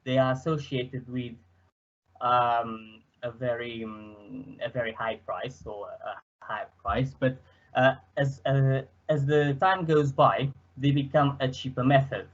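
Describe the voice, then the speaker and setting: Man, Portuguese accent, young adult, halting slide presentation, lots of fillers, medium pitch, muffled lo-fi sound. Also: fairly even intonation. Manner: hesitant, many fillers and restarts. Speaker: student presenter. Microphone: headset.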